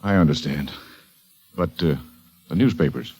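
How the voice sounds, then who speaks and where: A deep-voiced, grave, hesitant doctor. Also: male voice, warm tone. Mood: grave, reluctant. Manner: hesitant, measured, formal. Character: grave, reluctant, courteous doctor breaking bad news. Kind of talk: 1940s radio drama acting. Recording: vintage radio broadcast.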